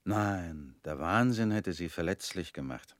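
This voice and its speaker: An actor in a radio play playing a calm former prisoner who questions in a cool, probing, slightly ironic way. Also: male voice, low voice, unhurried, no echo, studio quality.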